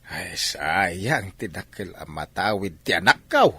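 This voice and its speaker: Man playing a low, lively, scheming village husband. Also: slow pace, husky, no room sound, full character voice. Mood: regretful. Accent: Ilocano. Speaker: actor in a radio drama. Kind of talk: Ilocano radio drama acting.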